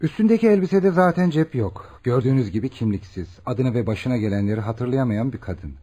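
A courteous male hospital doctor in a radio drama. His voice moves expressively up and down with a slightly rough edge. He questions and explains politely and formally. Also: mid-range voice, animated, a little fast, warm tone. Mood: businesslike. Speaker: actor. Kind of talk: Turkish radio theatre acting.

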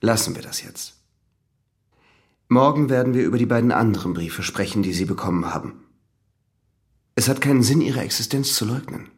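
An actor playing a composed, courteous and authoritative examining magistrate in a radio drama. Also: male voice, mid-range voice, expressive, normal speed, long pauses, lightly acted.

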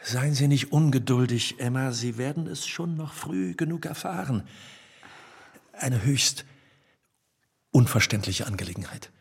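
An actor playing a kindly, flustered older gentleman in a period radio drama, reassuring in a halting, evasive way. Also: medium pitch, expressive, creaky, slightly rough, big-room sound, exaggerated voice. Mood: nervous, reassuring.

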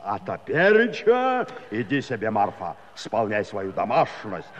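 A man's voice: on the low side, wildly swooping pitch, measured pace, long reverb tail, dull audio, a little hiss or hum. An actor playing a bossy, blustering middle-aged Cossack village chairman, hemming and drawling in a comic radio play. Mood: placating, dismissive.

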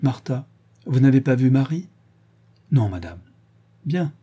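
Stern cold lady grilling suspects, guy doing her in a dark husky voice, storybook reading. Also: mid-range voice, big pitch swings, unhurried. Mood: probing. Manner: cold, probing, relentlessly accusing. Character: stern sharp-witted middle-aged woman investigator.

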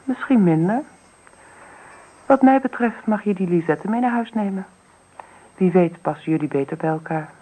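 A woman plays a cool, self-possessed wife and mother in a radio play. She sounds matter-of-fact and direct, with a medium-pitched voice and firm, businesslike delivery. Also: expressive, normal speed, long pauses, warm tone, close to an everyday voice, rough recording. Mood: resolute. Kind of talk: Dutch radio drama acting. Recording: radio drama recording.

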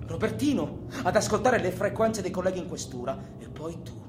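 A man playing a cocky, menacing robber who taunts his partner in crime in a theatrical monologue, swinging between loud bragging and quiet menace. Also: high voice, expressive, normal speed. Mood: nostalgic, mocking. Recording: echoey room, faint background noise.

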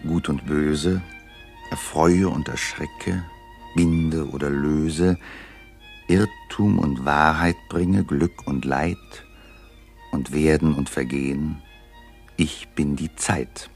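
An actor playing Time itself, narrating a classical radio play in measured, poetic, reflective speech. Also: male voice, a bass voice, fairly even intonation, gravelly, darkish tone, faint music behind, heavily acted. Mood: musing. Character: Time personified, measured, all-knowing male narrator.

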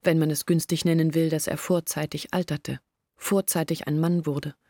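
A woman's voice: low voice, level tone, normal speed, warm tone, no room sound, studio quality. An actress playing a young village woman who earnestly confides her family's troubles in a radio play. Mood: earnest, confiding. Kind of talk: German radio play monologue.

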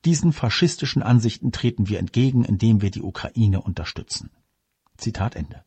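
A man reads scripted commentary in a measured, formal way. His voice is low and dark, with a husky grain. The sound is dry and close. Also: lively intonation, dry recording. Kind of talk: scripted political commentary, read aloud.

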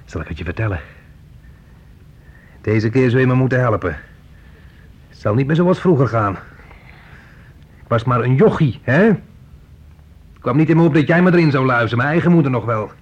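A man's voice: mid-range voice, animated, normal speed, pauses often, husky. An actor playing a menacing, bitter grown son who taunts and bosses his mother around in a radio play. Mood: taunting. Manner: taunting, bossy, mock-friendly. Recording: muffled old radio play recording.

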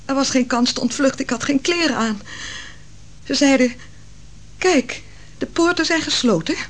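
A woman's voice: sits high, normal speed, natural voice. A woman acting an anguished, virtuous wife in a Dutch radio play, telling her story in a solemn, dramatic manner. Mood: distressed, recounting. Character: Susanna, anguished virtuous wife of a royal counsellor.

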